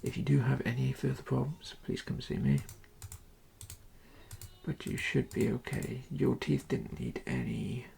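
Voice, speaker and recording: A person doing an ASMR dentist roleplay in a soft, breathy, creaky whisper, giving calm and reassuring instructions to a patient. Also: mid-range voice, unhurried, slight background noise.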